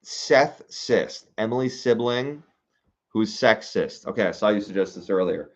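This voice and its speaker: Young male streamer, low voice, slightly dull lo-fi sound, casual, halting, reading chat and mulling ideas aloud. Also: lively intonation. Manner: musing aloud, reading comments, halting with fillers. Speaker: streamer reading viewer suggestions.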